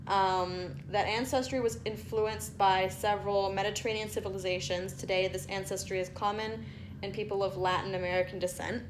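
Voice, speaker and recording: A young woman talks casually to her viewers, reading out lines with chatty asides. Her voice sits at a middle pitch. A faint hiss lies under the home recording. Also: steady tone, moderate pace. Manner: chatty, reads aloud with asides, stumbles over place names. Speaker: vlogger. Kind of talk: casual vlog reading out results.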